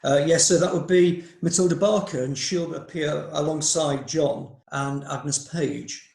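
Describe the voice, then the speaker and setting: A man's voice: on the high side, fairly even intonation, unhurried, gravelly, darkish tone, reverberant. A man playing a dutiful court clerk who announces names and oaths in a formal, slightly hesitant way. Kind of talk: amateur radio drama.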